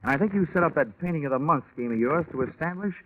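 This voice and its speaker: An actor playing a tough, wisecracking American insurance investigator with a wry, deadpan, hard-boiled delivery in 1940s radio style. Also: male voice, on the high side, fast. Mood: wry, brisk. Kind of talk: 1940s radio detective acting and narration. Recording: tinny band-limited 1940s radio recording.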